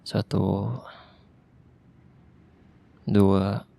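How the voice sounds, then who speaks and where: Gravelly young man. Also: on the low side, monotone, very slow, background noise. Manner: casual slangy speech, tense and hushed at times. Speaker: voice actor. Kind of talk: ASMR roleplay monologue.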